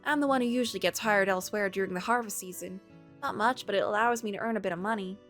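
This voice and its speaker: A woman voicing a hardworking, modest young farm woman in a visual novel, matter-of-fact and gently instructive. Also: medium pitch, fast, natural voice. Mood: modest, wistful. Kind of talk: visual novel character reading. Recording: background music.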